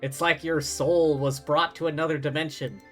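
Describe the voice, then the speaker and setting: A man's voice: on the high side, flat delivery, normal speed. A man who streams games, reading dialogue and narration aloud dramatically, with casual asides. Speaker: game streamer. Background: game music.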